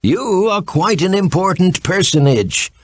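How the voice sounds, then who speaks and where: A man's voice: sits high, sing-song, unhurried, no echo, pitched above the performer's own voice, full character voice. A man voicing a genial, courteous fox king in a children's storybook, with a grand, exclaiming manner. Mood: grand, eager.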